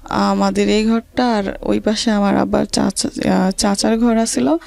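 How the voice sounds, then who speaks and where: A woman with a creaky, middle-pitched voice speaks in a rural Bangladeshi accent. She tells her own story as an interview guest, in a halting, colloquial way, often repeating words as she goes. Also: level tone, darkish tone. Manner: halting, repetitive, colloquial dialect.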